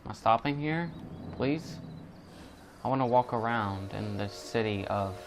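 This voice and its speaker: A man's voice: on the low side, a little slow, slight background noise. A man giving casual, rambling commentary while he plays a video game. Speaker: gamer recording a playthrough.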